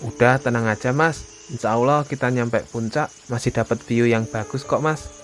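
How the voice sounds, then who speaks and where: A man voicing a young Indonesian hiker, a practical, concerned friend talking colloquially in an animated horror story. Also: mid-range voice, moderate pace, natural voice. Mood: friendly, reassuring. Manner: casual, colloquial. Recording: music behind the dialogue.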